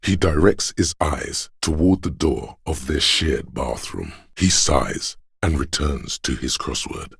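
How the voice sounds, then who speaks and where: A man narrating a game script in a measured, grave, literary manner, with a very deep, gravelly, husky voice. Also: lively intonation, fairly bright tone, no room sound, clean studio sound.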